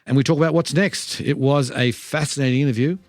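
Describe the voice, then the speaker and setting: A man's voice: medium pitch, fairly even intonation, a little fast, over music. A man hosting a podcast, reading an upbeat introduction and then asking interview questions. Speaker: podcast host.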